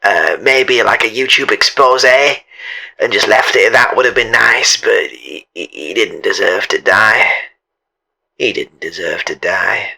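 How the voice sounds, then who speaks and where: A man playing a disapproving local bloke in a comic mock documentary, raspy, halting and reproachful. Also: lowish voice, pushed and bright, exaggerated voice. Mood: reproachful, regretful.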